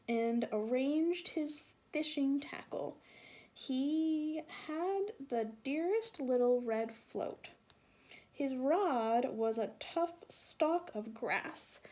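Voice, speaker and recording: A woman reading a picture book aloud for children, slowly and deliberately, with sing-song emphasis. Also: high-pitched, very animated, mellow. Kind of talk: read-aloud children's storytelling.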